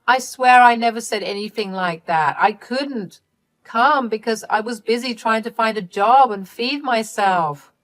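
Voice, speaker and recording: A woman voicing a self-pitying young adult sister who pleads and defends herself in a high, strained voice. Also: very animated, slow pace. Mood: pleading.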